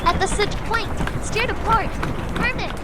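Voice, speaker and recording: A man's voice: very high voice, a little slow, heavy background noise, voice pushed up. A man voicing an earnest young woman musician and adventurer in a storybook. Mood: urgent calling. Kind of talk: audiobook character voice.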